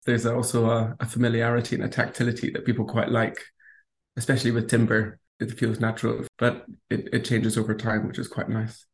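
Brief: male architect, mid pitch, flat even delivery, calm and explanatory. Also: slight background noise. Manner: calm, measured, explanatory, with small fillers. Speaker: architect and bench designer. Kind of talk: interview answers.

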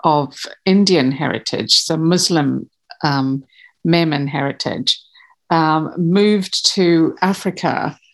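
Slow, low-voiced woman with gravelly fry. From Malawi. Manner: slow, hesitant, many fillers and restarts. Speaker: guest. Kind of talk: casual interview chat.

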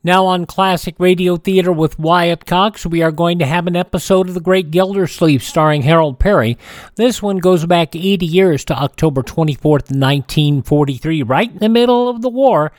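A man's voice: a little high, moderate pace, no echo, studio quality. A man hosting a radio show, giving a conversational introduction.

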